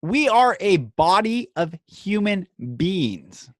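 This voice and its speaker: A man hosting a podcast, speaking in an earnest, emphatic inspirational monologue broken into short phrases with dramatic pauses. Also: high voice, big pitch swings, dry recording, studio quality.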